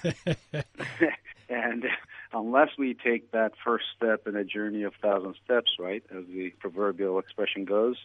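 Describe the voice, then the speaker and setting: A man from Turkey answering interview questions in a measured, reflective way. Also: fairly low pitch, fairly even intonation, no echo. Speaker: guest. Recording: tinny phone line.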